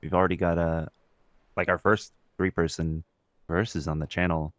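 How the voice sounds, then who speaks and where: A man's voice: low-pitched, flat delivery, moderate pace, fairly bright tone, no room sound. A young man co-hosting a podcast, chatting informally and swearing casually. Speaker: podcast co-host; substitute teacher.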